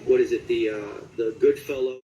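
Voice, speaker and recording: A man with a mid-pitched voice talks casually as a voice actor being interviewed. He feels his way through recollections in a halting manner, pausing to search for the right detail. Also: steady tone, mellow, muffled recording, slight background noise.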